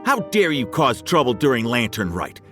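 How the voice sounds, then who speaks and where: A man's voice: medium pitch, sing-song, moderate pace, fairly bright tone. A man voicing a stern, indignant male law enforcer in an anime-style video game. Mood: indignant, scolding. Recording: music behind the voice.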